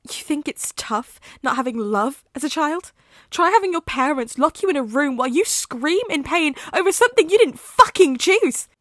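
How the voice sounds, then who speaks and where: A woman voicing a betrayed teenage boy who is a werewolf, shouting and swearing bitterly in a storybook reading. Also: a little high, expressive, very slow, slightly rough, no room sound, clean studio sound, heavily acted. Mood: furious.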